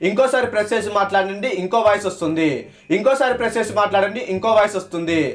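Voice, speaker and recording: A man's voice: high voice, rapid-fire. A man narrating a scripted tech product review as a host, in a casual, playful way.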